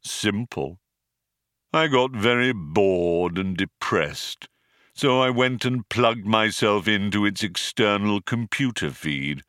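A man voicing a gloomy, self-pitying robot in an audiobook, with a muffled, monotone drone and a slow, dejected, resigned delivery. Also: fairly low pitch, no echo, studio quality. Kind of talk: audiobook character voice.

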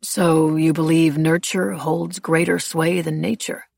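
Woman doing a brooding young guy in an audiobook, low n husky, quiet hollow mumble. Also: level tone, a little slow, no room sound, exaggerated voice. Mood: quietly questioning.

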